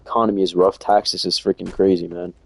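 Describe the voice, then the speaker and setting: A man's voice: deep voice, little pitch movement, fairly quick, vocal fry. A young man chatting casually and rambling in slang while streaming a game from home. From United States. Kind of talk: casual chat while playing.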